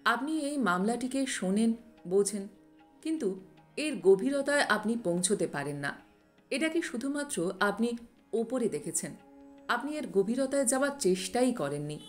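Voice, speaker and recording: A woman reading a scripted moral story in a measured, instructive manner, as a narrator. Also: fairly high pitch, lively intonation, faint music behind. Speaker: storyteller, narrator of a motivational video channel.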